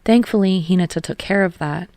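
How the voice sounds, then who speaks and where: A woman's voice: lowish voice, brisk, a little mellow, no room sound. A woman reading a story aloud as an audiobook narrator, in scripted third-person prose.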